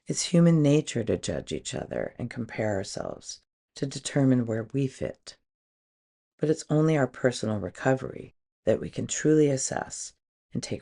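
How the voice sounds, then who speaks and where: A woman's voice: very deep voice, vocal fry, mellow. A woman reading a daily recovery meditation aloud as a guest on a podcast.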